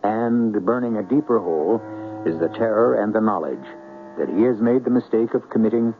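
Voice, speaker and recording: A man hosting a 1970s radio mystery, reading scripted narration in a measured, dramatic way. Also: lowish voice, narrow, tinny audio. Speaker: host and narrator. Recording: phone-thin old radio broadcast.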